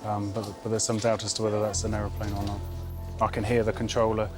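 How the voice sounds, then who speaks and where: A man's voice: fairly low pitch, monotone, quick pace, over music. A man explaining a radar screen with hesitant fillers. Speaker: air traffic controller.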